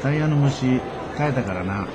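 Male actor as middle-aged shopkeeper dad, Kansai accent, gruff, grumbling, kindly, radio drama, noisy surroundings. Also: mid-range voice, expressive, normal speed, a loud crowd, lightly acted. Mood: good-natured. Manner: grumbling, blustering. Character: gruff, good-natured middle-aged bicycle repairman father.

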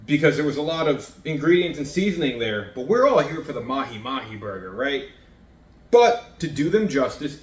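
A man in his thirties chatting casually to camera in his home kitchen as he cooks and taste-tests, rambling and self-deprecating. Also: fairly high pitch. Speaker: home cooking vlogger. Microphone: fixed camera across the counter. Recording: echoey kitchen.

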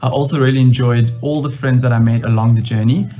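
A man's voice: medium pitch, steady tone, fast talker, warm tone, lo-fi sound, faint music behind. A young man graduate giving a friendly testimonial from home. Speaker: recent graduate. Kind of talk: testimonial to camera.

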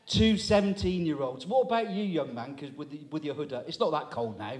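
A man in his sixties with a fairly high voice holds a handheld mic on a small town hall stage. He chats and teases the audience in easy stand-up crowd work. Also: fairly quick. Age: 60s. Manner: chatty, teasing, audience banter. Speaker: comedy compere. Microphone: handheld microphone.

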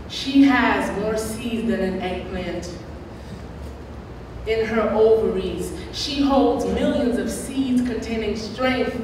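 A young woman reciting poetry live at a slam, with deliberate, dramatic delivery and emphatic pauses. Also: medium pitch. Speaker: spoken-word poet. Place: large room at a college, on stage at a podium. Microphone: microphones on stands at a podium. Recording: echoey hall, distant mic, background noise.

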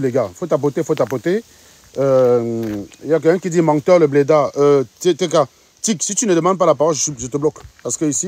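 Brisk combative livestream host, fairly high voice, phone held out. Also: male voice, darkish tone. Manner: combative, mocking, insistent questioning. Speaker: live stream host. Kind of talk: casual livestream chat. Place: outdoors on a sunny street. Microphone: phone at arm's length.

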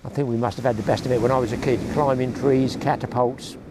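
A man's voice: mid-range voice, steady tone, quick pace. A man in his sixties, a fishing vlogger chatting to a close-held camera on an open shingle beach, rambling and grumbling with dry humour. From England. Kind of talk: casual chat to camera. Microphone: action camera held close. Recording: outdoor beach noise behind.

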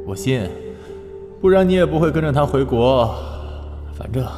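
A man voices a breezy, teasing young man in an audio drama. His pitch moves freely and laughs slip in. The voice sits in long reverb with music behind it. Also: lively intonation, takes it slowly.

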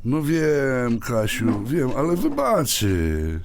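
An actor playing Krystek, a sheepish middle-aged husband who stammers and pleads after a drinking mistake, in a radio drama. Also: male voice, mid-range voice, wildly swooping pitch, slow pace, slight background noise, exaggerated voice. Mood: pleading.

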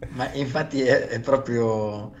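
A man's voice: medium pitch, steady tone, takes it slowly, slight background noise. A man in his thirties, a guest on a video-call podcast, speaking on an earphone mic and opening his points thoughtfully with hesitations. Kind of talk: casual philosophical chat. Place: home room, video call.

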